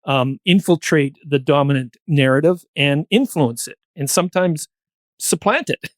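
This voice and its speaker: A man's voice: a little high, unhurried, no room sound, clean studio sound. A man talking reflectively as a podcast guest, with hesitant, academic phrasing. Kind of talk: reflective discussion.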